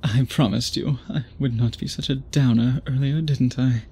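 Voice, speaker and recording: A man playing an obsessive, courtly vampire suitor in an intimate ASMR roleplay, breathy and husky, with formal old-fashioned phrasing. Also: medium pitch, steady tone, normal speed, warm tone, close to an everyday voice. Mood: tender, apologetic. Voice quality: breathy, husky, often whispery.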